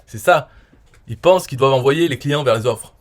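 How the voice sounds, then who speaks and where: A man's voice: medium pitch, moderate pace. Rambling French man, casual explainer. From France. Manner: rambling, frequent "euh" and "voilà", trailing off quietly. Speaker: online marketer reviewing his own interview.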